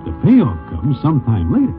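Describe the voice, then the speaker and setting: An actor playing a wry, slangy Broadway storyteller, narrating in the style of 1940s radio. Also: male voice, mid-range voice, huge pitch swings, fairly quick, over music. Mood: wry, storytelling. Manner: formal present-tense gangster slang, wry. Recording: tinny 1940s radio recording.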